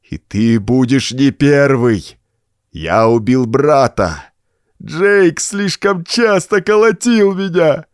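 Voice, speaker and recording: A man's voice: medium pitch, animated, no echo, clean studio sound, voice pushed up. A man voicing a big, simple-minded, deranged young brute in an audiobook, bellowing threats in a strained, shrieking voice. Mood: murderous rage. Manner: bellowing, howling threats in slow bursts.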